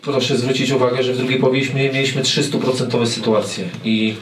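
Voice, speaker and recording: A man in his forties, a football coach answering press questions after a match, speaking haltingly with repeated words and fillers. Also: mid-range voice, steady tone. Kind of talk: post-match press conference. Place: press room with sponsor wall. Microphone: table mic on stand. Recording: echoey room, mic at a distance, faint noise.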